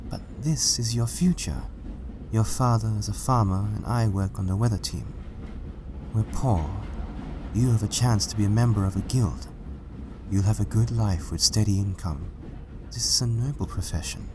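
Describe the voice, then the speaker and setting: A man voicing a gentle, reassuring pegasus mare, a proud mother, in an earnest storybook reading. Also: fairly low pitch, moderate pace, noisy background, voice pushed up, close to an everyday voice. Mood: earnest, encouraging. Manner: soothing, earnest, encouraging.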